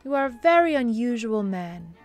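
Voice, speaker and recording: An actress playing a kindly, refined bishop's wife, polite and hesitant, in a radio-style drama. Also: female voice, on the high side, sing-song, moderate pace, a little mellow, soft background music. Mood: flustered, pleased.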